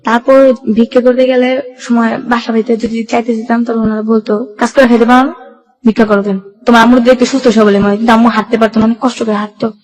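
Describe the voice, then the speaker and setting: Young bangladeshi woman telling her life story, talks really fast, everyday colloquial bengali accent, keeps quoting people. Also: on the high side, flat delivery, a little mellow, low-quality recording. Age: young adult. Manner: colloquial run-on storytelling with reported dialogue. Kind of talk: personal life-story narration.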